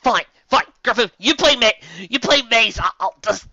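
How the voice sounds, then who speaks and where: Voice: medium pitch, expressive, moderate pace, vocal fry, bright, forceful tone, dry recording, dull audio. A theatrical, exasperated host giving a comic dramatic reading of fan fiction, with asides.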